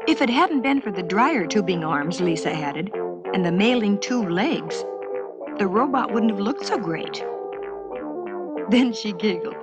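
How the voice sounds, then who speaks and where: A woman reads a scripted educational story for children in a clear, measured storytelling manner, as on a 1970s school cassette. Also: mid-range voice, animated, loud music behind the voice. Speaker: narrator.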